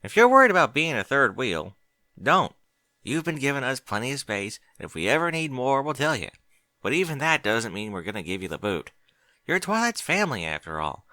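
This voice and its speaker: Folksy female pony for a storybook male reader performing: colloquial, reassuring, lively intonation, dry sound. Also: medium pitch, fairly quick, no room sound, pitched above the performer's own voice. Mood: reassuring, firm. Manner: folksy, colloquial, plain-spoken. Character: adult female country pony, plain-spoken, reassuring. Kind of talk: audiobook character dialogue.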